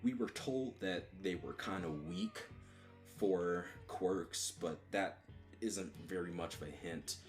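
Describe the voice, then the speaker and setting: Low-voiced man, flat casual review. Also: slow pace, soft background music. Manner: mostly flat, monotone delivery with stumbling restarts. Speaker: manga reviewer. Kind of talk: casual solo video review.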